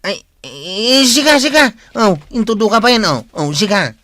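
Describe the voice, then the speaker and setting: An actor with an Ilocano accent playing a nervous, hesitant nephew and sidekick in a comic radio drama. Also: male voice, sits high, very animated, normal speed, sharp tone, dry recording, heavily acted. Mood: flustered. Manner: flustered, hesitant interjections.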